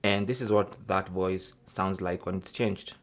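A man's voice: low voice, fairly even intonation, a little slow, soft background music. A man hosting an informal tech tutorial, explaining things casually step by step. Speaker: host of a tech tips show.

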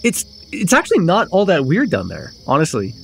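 Man playing a charming, lively young vampire guy. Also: fairly high pitch, a little fast, creaky, slight background noise, heavily acted. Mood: amused, teasing. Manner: casual, rambling, hesitant asides. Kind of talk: immersive ASMR roleplay, casual chat.

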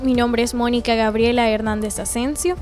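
A young student speaks politely in a somewhat high voice. Faint music plays behind her as she talks into a handheld mic. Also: level tone, normal speed. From El Salvador.

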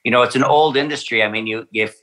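Bright-voiced man, halting speech. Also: mid-range voice. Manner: hesitant, many fillers and restarts. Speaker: winery representative. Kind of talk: podcast interview.

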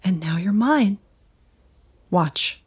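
An actress playing a possessive, mocking woman tormentor in an audio drama, with a husky, deliberate delivery. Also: medium pitch, expressive, heavily acted. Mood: taunting.

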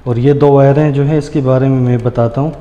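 A man's voice: medium pitch, fairly even intonation, brisk, mellow, highs cut off. A man giving a casual hands-on tutorial, explaining step by step and addressing viewers as friends. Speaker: tutorial host. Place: indoors in a tiled room, hands at a granite counter. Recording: echoey hard-walled room, noisy.

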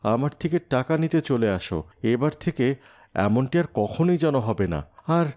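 A man's voice: mid-range voice, sing-song, unhurried, a little mellow. A man voicing a well-off, stingy father and uncle in a moral cartoon, speaking firmly and plainly. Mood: stern, dismissive. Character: stern, stingy rich uncle and doting father. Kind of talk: Bengali moral cartoon voice acting.

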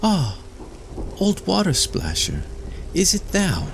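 A man's voice: high voice, wildly swooping pitch, slow, creaky, noisy background, pitched above the performer's own voice, exaggerated voice. A man voicing a haughty, fickle young fairy-tale princess with archaic, exclamatory speech. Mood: surprised.

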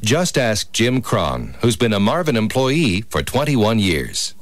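A man's voice: fairly low pitch, sing-song, unhurried, dry recording. A man reading scripted radio commercial narration as an announcer.

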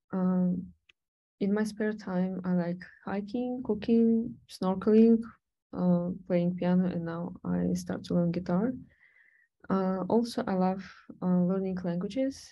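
A young woman whose first language is Russian, presenting her research background in an online talk, pausing often with fillers and restarts. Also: lowish voice, mellow, lo-fi sound. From Latvia. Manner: hesitant, many fillers and restarts. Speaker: PhD candidate presenter.

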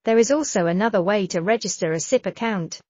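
A woman reading a scripted software tutorial in a measured, instructional manner. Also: mid-range voice, no echo. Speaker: tutorial narrator.

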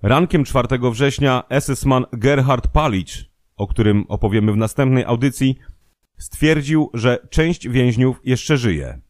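A man's voice: mid-range voice, a little slow, darkish tone, no echo, clean studio sound. A man narrating a scripted historical true-crime audio programme.

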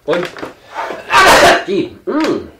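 A man's voice: high voice, huge pitch swings, extremely slow, over a noisy crowd, very noisy. A young man with a stuffy nose, chatting casually on camera from a table indoors as he opens an advent calendar, rambling with lots of hesitant fillers. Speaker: channel host. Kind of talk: casual chat while opening an advent calendar. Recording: roomy, echoey room sound.

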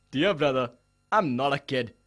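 A man voicing a greedy, rich older brother in an animated children's story, slow and mocking. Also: medium pitch, in a large hall. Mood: threatening.

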